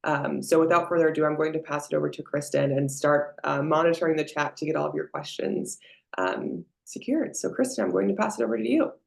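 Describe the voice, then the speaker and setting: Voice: mid-range voice, fairly quick, gravelly. A webinar moderator giving a casual introduction with frequent ums. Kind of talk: event introduction.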